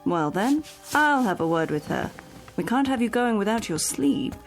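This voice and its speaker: A private nurse in a radio drama, a woman who is protective and solicitous. Her delivery is animated, swinging in pitch, with some creak in the voice and a firm, brisk manner. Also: soft background music. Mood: reassuring.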